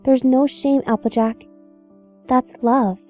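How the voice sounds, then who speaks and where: A woman voicing an earnest, caring young pony who is gently serious, on a dull microphone, in an audio drama. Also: sits high, steady tone, takes it slowly, soft background music. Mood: reassuring. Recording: dull, band-limited microphone.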